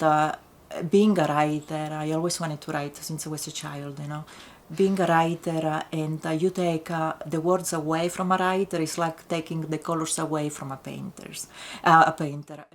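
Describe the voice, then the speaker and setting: A woman with an Italian accent, a native Italian speaker, talking reflectively in an interview with halting, searching phrasing and many fillers. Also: low voice. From Italy. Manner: halting, full of pauses and fillers. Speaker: writer and teacher.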